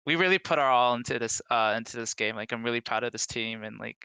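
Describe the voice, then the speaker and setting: A man with a bright, crisp-edged voice in a very dry recording. He speaks as a guest developer in casual conversation, earnest and a little halting. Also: mid-range voice, no room sound. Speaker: indie game developer, podcast guest. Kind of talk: casual interview chat.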